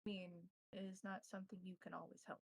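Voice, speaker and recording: A woman playing a measured, cautious elder vampire mentor who instructs in formal, deliberate phrasing. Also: mid-range voice, level tone, creaky, no room sound. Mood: cautioning.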